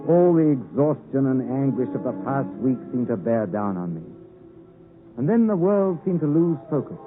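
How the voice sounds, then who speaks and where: An actor playing an earnest, passionate young English gentleman who narrates his story in a theatrical 1950s radio drama style. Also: medium pitch, lightly acted. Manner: theatrical, emotive. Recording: vintage 1950s radio, tinny, music behind.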